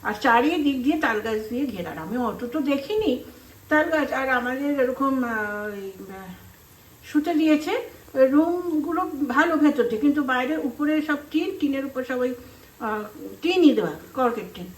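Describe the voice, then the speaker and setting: A woman's voice: high-pitched, expressive, normal speed. A middle-aged woman with an Indian Bengali accent, telling casual, rambling stories as she cooks at home and acting out remembered conversations. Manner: chatty, rambling, acts out remembered dialogue. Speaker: home cooking vlogger. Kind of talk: casual storytelling while cooking. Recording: roomy echo, some background noise.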